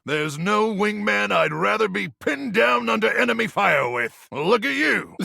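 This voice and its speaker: A gravelly, blustering hothead soldier. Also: male voice, sits high, pushed and bright, dry recording, studio quality, full character voice. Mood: gung-ho. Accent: American. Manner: folksy slang, blustering, mock-military. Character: brash microwave man, hot-headed yet eager, rambling wasteland soldier. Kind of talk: video game character acting.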